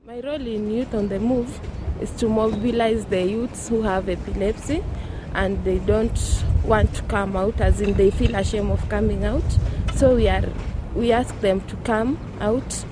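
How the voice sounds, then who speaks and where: A woman's voice: mid-range voice, steady tone, a little slow. Direct young woman, street noise. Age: 20s. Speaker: youth coordinator. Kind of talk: documentary interview. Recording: outdoor street noise with passing traffic.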